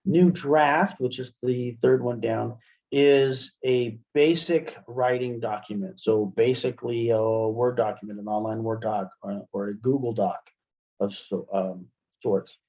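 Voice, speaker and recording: A middle-aged man with an American accent walks through a software tutorial in an informal, halting manner. His voice is soft-edged and relaxed, and the sound is a little dull, as on a video call. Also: mid-range voice. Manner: informal, halting, checks in with "right?". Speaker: webinar presenter and instructor. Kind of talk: software tutorial, explaining step by step. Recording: muffled, dull computer-call audio.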